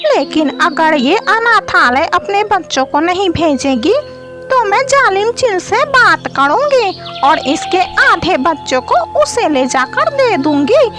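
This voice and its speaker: A woman's voice: very high-pitched, sing-song, slow pace, heavily acted. A woman voicing a meddling, spiteful female crow in a children's cartoon, pointed and self-righteous. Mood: spiteful scheming. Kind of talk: children's cartoon story acting. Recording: loud music behind the voice.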